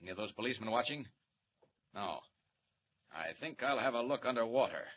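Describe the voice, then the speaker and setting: A man acting a brave, quick-thinking reporter with a secret heroic identity in a 1940s radio serial, speaking briskly and earnestly. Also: medium pitch, animated, close to an everyday voice. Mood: low, determined. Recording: muffled, noisy 1940s radio recording.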